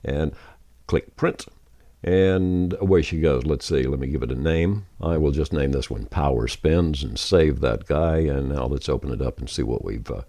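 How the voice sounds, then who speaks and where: A man with an extremely deep, dark and relaxed voice walks through software in a casual, folksy way. He sounds like an informal tutorial presenter, recorded close and very dry. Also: moderate pace, vocal fry, no room sound. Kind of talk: casual screen-share software walkthrough.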